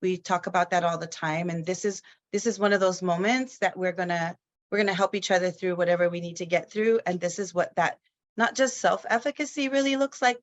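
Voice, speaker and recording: A woman from Hawaii presenting a webinar, speaking slowly with many pauses and fillers. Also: fairly low pitch. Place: at home in Hawaii. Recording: dull lo-fi video call audio.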